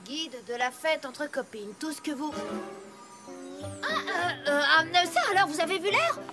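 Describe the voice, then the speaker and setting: A woman's voice: high voice, expressive, unhurried. A woman voicing a blunt, down-to-earth farm mare pony in a French cartoon dub, with a plain-spoken, dry manner. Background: cartoon music score.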